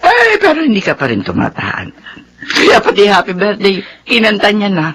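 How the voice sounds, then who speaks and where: A woman's voice: medium pitch, huge pitch swings, normal speed, fairly bright tone, breathy edge, full character voice. An actress playing a middle-aged, grieving widow and devoted mother in a radio drama, speaking in an emotional, wistful, heartfelt way. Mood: fond, wistful. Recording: muffled radio drama with music underneath.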